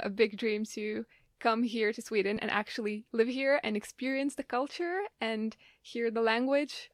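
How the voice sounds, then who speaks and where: A woman's voice: on the high side, no room sound, studio quality. A young woman introducing herself casually on a student podcast, enthusiastic and a little wistful. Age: young adult.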